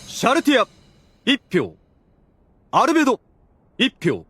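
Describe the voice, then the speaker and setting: A man playing a cunning, courteous demon strategist in an anime audio drama, polite, measured and theatrical. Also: very high-pitched, huge pitch swings, music in the background, full character voice. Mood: ceremonious.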